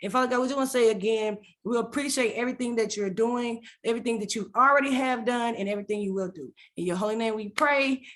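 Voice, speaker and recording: Host: woman, slightly high voice, energetic casual delivery, repeats phrases, sometimes stumbles. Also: fast talker, hardly any pauses. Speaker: podcast host. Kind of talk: casual podcast hosting with prayer.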